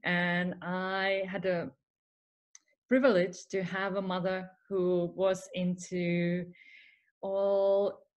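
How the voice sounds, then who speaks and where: Finnish-accented woman, low voice, unhurried, earnest interview guest. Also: flat delivery, highs cut off. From Finland. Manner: earnest, laughs often, non-native phrasing. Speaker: guest, spiritual mentor. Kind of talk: casual podcast interview.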